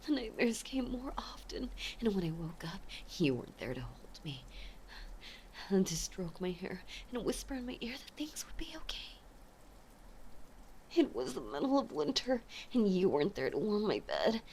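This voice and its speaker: A woman playing an obsessive, possessive mafia heiress ex-girlfriend in a dramatic audio roleplay, with intense, menacing, theatrical delivery and a breathy voice. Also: medium pitch, sing-song, moderate pace, a little hiss or hum. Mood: hurt, bitter. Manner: intense, menacing, theatrical, swinging between hush and outburst. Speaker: voice actor.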